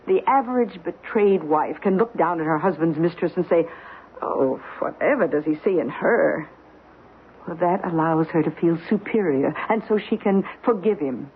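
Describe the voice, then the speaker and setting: An actress playing a bitter, proud, middle-aged wronged wife in an old-time radio drama, speaking in an articulate, cutting, deliberate way. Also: female voice, on the low side, expressive. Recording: tinny, noisy old radio recording.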